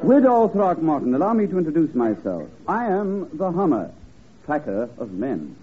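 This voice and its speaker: An actor playing a pompous, absent-minded master detective with theatrical delivery in a 1950s radio comedy spoof. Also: male voice, on the high side, huge pitch swings, moderate pace. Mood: theatrical impatience. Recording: tinny, band-limited old radio recording with hiss.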